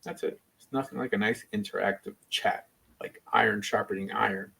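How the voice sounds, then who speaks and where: A man's voice: fairly low pitch, steady tone, brisk, gravelly, pushed and bright, breathy edge, rough recording. A man speaking casually as a rabbi and livestream host, rambling and opinionated in an informal way. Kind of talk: casual livestream chat and debate.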